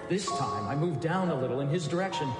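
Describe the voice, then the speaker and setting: A man performing as one of a chorus of narrators, telling an eerie tale with measured, dramatic phrasing. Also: on the high side, expressive, natural voice. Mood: ominous. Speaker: cast reader in a live radio performance. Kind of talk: theatrical literary story narration passed between several readers. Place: large hall stage, live radio performance. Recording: echoey hall, loud music underneath. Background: loud music.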